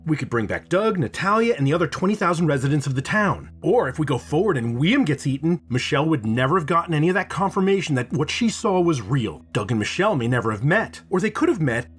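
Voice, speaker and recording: A man narrating a scripted review as the host of the show. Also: a little high.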